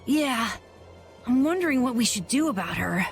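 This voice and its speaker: A woman's voice: a little high, expressive, normal speed. A woman playing an earnest, unsure but determined young boy in a video game cutscene. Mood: troubled, resolving. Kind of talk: English game cutscene voice acting. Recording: music behind the voice.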